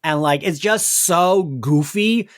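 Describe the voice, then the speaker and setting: A podcast co-host with an animated, giggly way of talking, casually recapping a story and acting out bits of dialogue. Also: mid-range voice, takes it slowly. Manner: giggly, animated, quotes characters. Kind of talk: casual episode recap chat.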